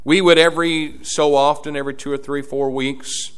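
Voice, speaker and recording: A man's voice: on the high side, flat delivery, normal speed, clean tone. A man, preaching a sermon as pastor, his delivery swelling and dropping in volume. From Indiana, USA.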